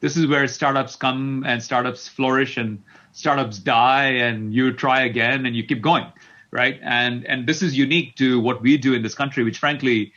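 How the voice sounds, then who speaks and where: Halting male executive, slightly muffled audio. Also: mid-range voice, fairly even intonation, fairly bright tone. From Kenya. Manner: halting, repeats words as he thinks. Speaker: tech company CEO, interview guest. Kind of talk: conversational interview answers.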